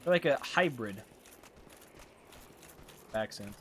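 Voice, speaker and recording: A man's voice: mid-range voice, animated, slow pace, fairly bright tone, slight background noise. A man with a Canadian accent giving casual live gameplay commentary, rambling and slangy as he thinks aloud. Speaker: game streamer.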